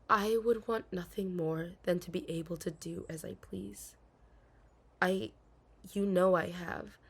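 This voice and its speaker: An anxious young princess , acted by a woman, with a breathy, husky voice and slow, formal storybook diction. She is dutiful but hesitant, hiding her longing in an intimate audio roleplay. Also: on the low side, full character voice. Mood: wistful, anguished.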